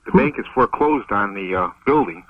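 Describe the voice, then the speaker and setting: American guy calling a radio show, kinda low voice, halting, sounds far away on a muffled phone. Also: expressive. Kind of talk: conversational phone call. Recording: dull, distant phone line on radio.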